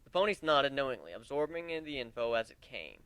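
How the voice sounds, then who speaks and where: A man giving an expressive first-person dramatic reading of a story as its narrator. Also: mid-range voice, fairly quick, clean tone, no echo. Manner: animated, expressive.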